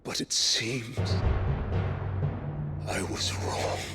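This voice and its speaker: An actor playing a grief-mad tyrant warlord in a video game, grandiose, theatrical and menacing, bent on revenge. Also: male voice. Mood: cold menace. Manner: grandiose, theatrical, drawn-out delivery. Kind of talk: dramatic video game cutscene acting. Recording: loud orchestral game music behind. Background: loud music.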